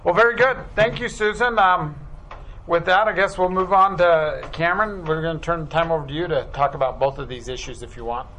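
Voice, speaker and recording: A man chairing a public meeting, running through agenda items in a relaxed way with many fillers. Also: fairly high pitch, brisk, low-quality recording. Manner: relaxed, filler-heavy, loosely worded. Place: meeting room.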